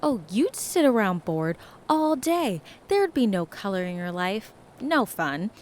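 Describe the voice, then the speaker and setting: An actress playing a lively, teasing woman in her thirties, in a naturalistic audio drama. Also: mid-range voice, unhurried, a little hiss or hum. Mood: playful. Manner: playful, teasing, animated. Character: lively, teasing woman housemate, a live wire.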